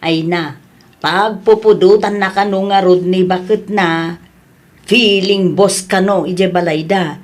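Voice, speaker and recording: A woman's voice: fairly low pitch, steady tone, takes it slowly. A woman playing an older mother in an audio drama, chiding and exclamatory, concerned and meddling, with an Ilocano accent. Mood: scolding.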